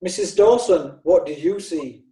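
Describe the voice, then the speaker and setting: A brisk, formal prosecutor, played by a man questioning each witness in turn. His mellow, fairly high voice sounds distant and echoey in the room. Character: businesslike male prosecutor. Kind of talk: amateur radio drama.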